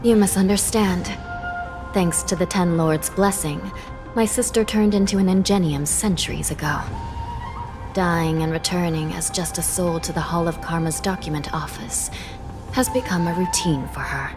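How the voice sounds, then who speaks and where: A woman playing a composed, dutiful official in a video game, measured and serious with a weary edge. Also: lowish voice, over music, close to an everyday voice. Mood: weary, explaining.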